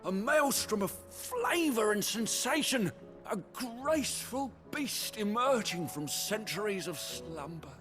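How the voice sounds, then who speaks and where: A grandiloquent male gourmand from a fantasy game. His high-pitched voice swoops dramatically with florid, pompous relish, over music. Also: lively intonation, slow pace, a bit bright, heavily acted. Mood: rapturous. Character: grandiloquent, excitable male gourmand, theatrically enraptured by food. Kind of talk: video game voice acting.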